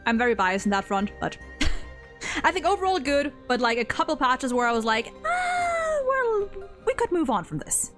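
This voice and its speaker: A woman in a casual chat reviewing a game story, talking in an animated, rambling way with many stammered repeats. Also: sits high, moderate pace, over music. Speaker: streamer and video co-host.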